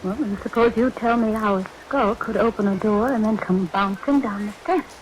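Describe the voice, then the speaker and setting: An actress playing an uneasy, sensible wife in a vintage radio horror play, apprehensive and pointed. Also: female voice, medium pitch, normal speed, slight background noise, lightly acted, rough recording. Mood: pointed worry. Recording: old radio recording with rain. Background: rain.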